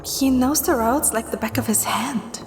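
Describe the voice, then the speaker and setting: Woman doing a spunky young game heroine, highish voice, direct and a bit wry, music playing behind her. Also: moderate pace, close to an everyday voice. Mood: hushed, wry. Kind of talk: romance game dialogue acting.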